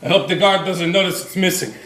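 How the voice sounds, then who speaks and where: An actor playing an angry, bitter prisoner in a stage play, with an intense, dramatic delivery. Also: male voice, high voice, a little fast, heavy background noise, natural voice. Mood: grim resolve. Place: theatre stage, recorded from a distance. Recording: echoey stage, distant microphone.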